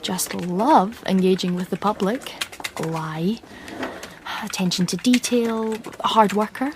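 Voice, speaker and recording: Woman playing slow-spoken, shy, sardonic graduate. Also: medium pitch, background noise, close to an everyday voice. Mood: wry. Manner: hesitant, dryly ironic. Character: shy, sardonic unemployed female art graduate. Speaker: actor in a radio play. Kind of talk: radio play acting.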